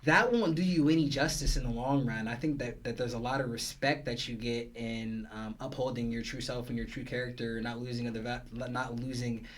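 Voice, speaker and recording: Slangy, animated male storyteller. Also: mid-range voice, fairly quick, few pauses. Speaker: guest. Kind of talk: casual podcast chat.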